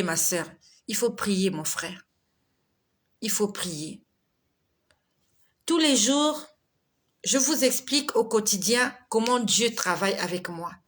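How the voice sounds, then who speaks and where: Bright-voiced woman in her forties. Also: mid-range voice. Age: 40s. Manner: exhorting, laughs often, chatty. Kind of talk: casual live devotional talk reading viewer comments.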